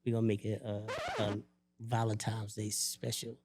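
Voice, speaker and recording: A man's voice: lowish voice, moderate pace, over music. Joking man ranting on a podcast. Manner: animated, joking, rants and teases. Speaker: podcast co-host. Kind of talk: casual chat.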